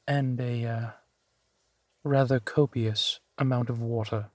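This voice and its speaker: A man's voice: mid-range voice, fairly even intonation, slow pace, a little mellow, no echo, lightly acted. A man voicing a suave, genteel vampire centuries old, speaking unhurriedly and formally to the listener in an intimate audio roleplay. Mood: courteous, hospitable. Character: suave male vampire, four centuries old, genteel, playfully amused host.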